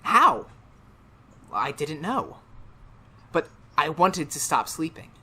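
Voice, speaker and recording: A man's voice: fairly high pitch, very animated, takes it slowly, gravelly, bright, forceful tone, slightly rough, full character voice. A man playing a tormented, anxious teacher in 1930s-style radio drama, with an intense, melodramatic delivery. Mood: haunted. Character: tormented male biology teacher, haunted and anxious.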